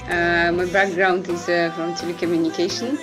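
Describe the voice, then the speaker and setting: A woman's voice: lowish voice, little pitch movement, normal speed, music in the background, rough recording. A young woman, a master's student, reflecting hesitantly on her background. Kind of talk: interview.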